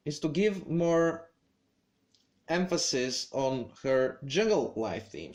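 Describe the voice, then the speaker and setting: A man delivering game commentary at an unhurried pace, stopping often to search for words. His voice sits a bit higher than most men's. He sounds opinionated but careful. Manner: hesitant, many fillers and restarts; opinionated, measured. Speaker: gaming video creator.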